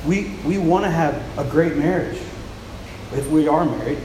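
A man preaching a sermon, soft-spoken and often repeating words as he restarts a thought, after reading scripture aloud. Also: fairly high pitch, moderate pace. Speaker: pastor. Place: church with a large echoing room. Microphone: handheld mic. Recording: echoey, reverberant hall with noise.

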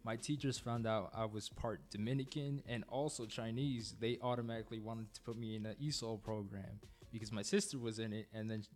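A man's voice: on the low side, fairly even intonation, fairly quick, few pauses, soft background music. A man from New Jersey co-hosting a podcast, speaking matter-of-factly about the news and his own experience. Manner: matter-of-fact, shares news and personal experience. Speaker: podcast co-host. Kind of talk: casual podcast discussion.

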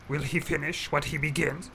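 A man playing a tiny ancient alien master in an audio drama, mischievous, cranky and wise, with a croaky voice and inverted phrasing. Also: a little high, pushed and bright, slight background noise, heavily acted. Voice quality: croaky, gravelly. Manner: inverted syntax, chuckles and hums between phrases. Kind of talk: audio drama character acting.

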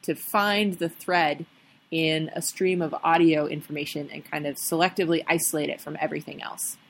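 Lowish-voiced woman co-host explaining. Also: fairly even intonation, moderate pace, creaky, slight background noise. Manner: thoughtful, explains with frequent ums and restarts. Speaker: podcast co-host. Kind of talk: casual explanatory chat.

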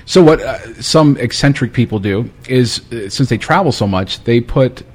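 Male podcast host, husky, loose and rambling. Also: medium pitch, animated, normal speed, darkish tone. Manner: rambling, casual, swears freely. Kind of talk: casual interview chat.

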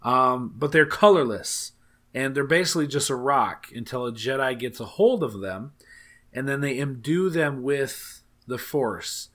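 A man with a raspy voice hosting a podcast, talking casually and rambling with many fillers. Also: medium pitch, animated, unhurried, a bit bright. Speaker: podcast host.

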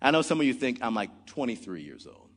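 A man's voice: medium pitch, big pitch swings, quick pace, breathy edge, long reverb tail. A man in his forties preaching a sermon in earnest, often opening with "man" or "look". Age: 40s. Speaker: pastor.

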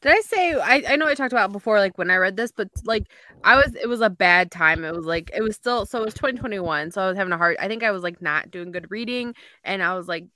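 A woman's voice: mid-range voice, lively intonation, fast, sharp tone, no echo. A woman co-hosting a book podcast in casual chat, rambling and informal, peppering her talk with "like". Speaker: podcast co-host reviewing books.

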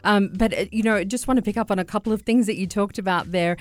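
A woman's voice: mid-range voice, fast, no room sound. A woman hosting a radio interview show, introducing and questioning a guest in an enthusiastic way with some fillers. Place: radio studio.

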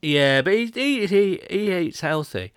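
A man's voice: high voice, animated, measured pace, a bit bright, smooth, no echo, clean studio sound. A man co-hosting a podcast in casual chat, rambling and laughing as he reads out listener questions. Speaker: podcast co-host.

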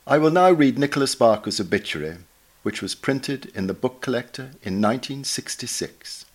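A man reading a scripted obituary aloud as narrator, in a measured, formal manner. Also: mid-range voice, animated. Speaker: reader, narrator.

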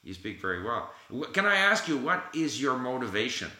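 A man with a bright, cutting tone and a lively, swinging delivery. He chats casually, encouraging his guest and stressing points by saying them twice. The sound is roomy with a clear echo. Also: mid-range voice, brisk. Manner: encouraging, emphatic, repeats key phrases. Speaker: language-learning host. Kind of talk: casual conversation. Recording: echoey room.

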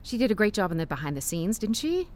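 A woman's voice: medium pitch, sing-song, fast, lightly acted. An actress in an audio drama playing an earnest, self-doubting, plain-spoken woman in a naturalistic way. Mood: protesting.

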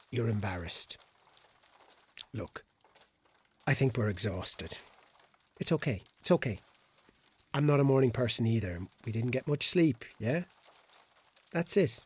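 A woman playing a blunt, sweary, hungover young character in a radio drama, halting and exasperated as nerves take over. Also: rumbling low, animated, darkish tone, husky, full character voice. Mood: awkward, placating. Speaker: actor in an audio drama. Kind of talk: naturalistic radio drama acting.

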